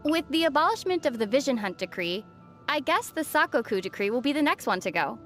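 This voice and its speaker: A woman playing a gentle, thoughtful young female traveler in video game dialogue, speaking in a measured, soft-spoken way. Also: high voice, very animated. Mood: calm, friendly. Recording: faint music under the voice. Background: faint background music.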